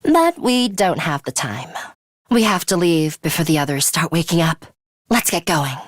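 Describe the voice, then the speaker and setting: A woman playing a serious, composed young female fighter in a video game, dryly scolding and sharp-tongued but caring. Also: fairly low pitch, animated, dry recording, clean studio sound. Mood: dryly teasing. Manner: measured, stern, dryly scolding.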